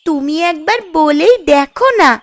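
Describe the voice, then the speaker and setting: A woman's voice: high-pitched, animated, normal speed. A woman voicing a poor, earnest village girl who pleads, in a children's cartoon story. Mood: pleading. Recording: music playing under the voice.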